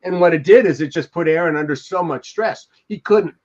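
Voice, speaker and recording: An older man reminiscing on a podcast panel in a halting, digressive, emphatic way, speaking from home over a video call. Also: a little high. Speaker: podcast host, former TV producer. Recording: dull, muffled video call audio.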